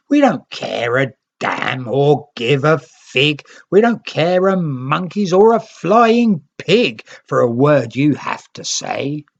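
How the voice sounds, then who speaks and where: A stubborn, hostile storybook creature as acted by a narrator scolds in a raised, emphatic voice. Every phrase is indignant and pushed out at a high pitch. The sound is slightly dull. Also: gravelly, full character voice.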